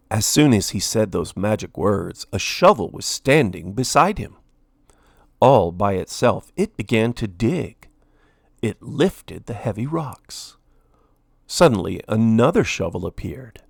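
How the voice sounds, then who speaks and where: A man narrates a children's storybook with dramatic emphasis. His pace is slow and his pitch very lively, with some gravel in the voice. The delivery is expressive and deliberate. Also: no room sound, studio quality. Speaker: storybook reader, host.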